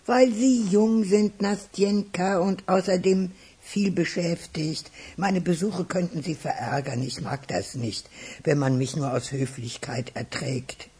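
A woman playing a chatty, proud retired music teacher in a radio drama, teasing and theatrical, with a husky older voice. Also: lowish voice, steady tone, warm tone. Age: 60s or older. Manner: teasing, drawn-out, theatrical delivery. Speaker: actor in a radio crime drama.

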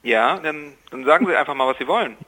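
A gruff, blunt man speaking confrontationally on a business phone call. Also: on the high side, animated, fast, bright, forceful tone. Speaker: tradesman answering a business call. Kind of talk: irritated phone talk. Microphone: telephone.

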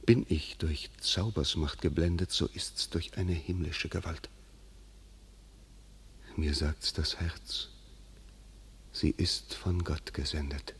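A man's voice: a bass voice, steady tone, takes it slowly, pauses often, heavily acted. A man playing a proud noble duke, declaiming verse with furious force in a classic radio play. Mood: awed. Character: proud noble male duke, wrathful warrior.

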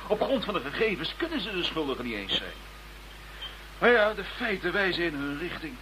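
An actor playing a sceptical police investigator in a radio play, direct and matter-of-fact. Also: male voice, sits high, very animated, fairly quick, bright, forceful tone, full character voice. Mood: frank, puzzled. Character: sceptical male police investigator, frank and probing. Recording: slightly noisy radio drama recording.

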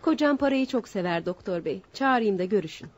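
An actress playing a shameless, unruffled scheming wife in radio theater, nonchalant, on a slightly dull recording. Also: female voice, medium pitch, lively intonation, fairly quick, darkish tone, a little hiss or hum, lightly acted. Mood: calm coaxing. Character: cool, shameless scheming wife, unbothered accomplice. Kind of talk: Turkish radio theater acting.

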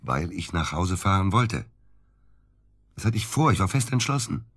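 Deep, husky, evasive man under suspicion. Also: sing-song, a bit bright, dry recording, clean studio sound, heavily acted. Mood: dejected, defensive. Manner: halting, hesitant, defensive. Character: evasive, dejected male hospital doctor under suspicion. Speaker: actor in a radio drama. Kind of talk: naturalistic German radio drama acting.

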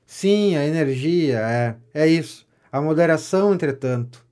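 A man acts out a self-important gentleman of letters in a period comedy audio drama. He has a Brazilian accent and a fairly high male voice, and he declaims pompously, lavish with flattery. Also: unhurried. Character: vain, self-important gentleman pamphleteer, pompous political know-it-all. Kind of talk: audio drama of a 19th-century stage comedy.

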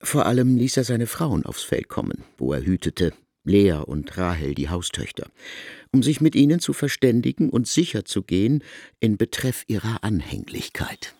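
Male narrator for literary audio drama; low, creaky voice with highly varied intonation. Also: very animated, moderate pace, a little mellow, dry recording, clean studio sound.

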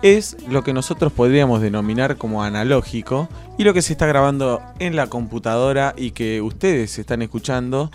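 A man's voice: mid-range voice, lively intonation, brisk, few pauses, darkish tone. An Argentine man in his twenties co-hosting a radio show with casual banter, often repeating his phrases. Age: 20s. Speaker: radio co-host. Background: music.

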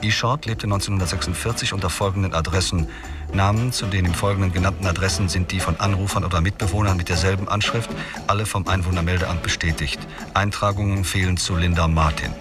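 An actor reading a police report aloud in clipped note form for a radio drama. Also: male voice, sits low, steady tone, quick pace, distant mic, over music, close to an everyday voice.

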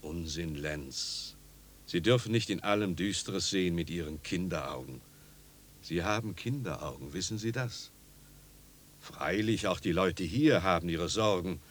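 A man's voice: deep voice, lively intonation, measured pace. An actor playing a kindly, steadfast village pastor in a 1950s radio drama, fatherly and earnest. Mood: reassuring, mildly chiding. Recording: old radio recording with hiss and hum.

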